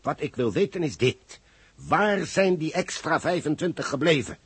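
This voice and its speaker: An actor playing an insistent, exacting chief engineer in 1960s radio drama, with a Netherlands Dutch accent. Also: male voice, fairly high pitch, animated, normal speed, husky, dry recording, heavily acted. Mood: insistent, troubled. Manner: emphatic, insistent.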